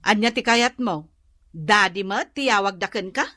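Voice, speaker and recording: A woman's voice: mid-range voice, normal speed, sharp tone, dry recording, clean studio sound, lightly acted. An actress playing a self-assured, defensive middle-aged mother and wife in a radio drama, with an Ilocano Filipino accent. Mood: irritated. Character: spendthrift, defensive mother and wife, boastful schemer.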